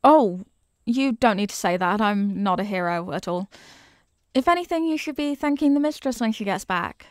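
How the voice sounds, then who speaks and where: A woman playing a young, eager and kindly apprentice witch in an ASMR roleplay, chatty, teasing and expressive as she talks straight to the listener. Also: mid-range voice, normal speed, no room sound, studio quality, natural voice. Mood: modest. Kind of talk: ASMR character roleplay, scripted.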